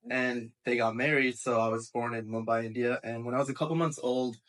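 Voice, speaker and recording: A man's voice: mid-range voice, steady tone, brisk, fairly bright tone. A man in his twenties with an American accent, telling his story casually as a panel guest. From raised in England and Texas. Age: 20s.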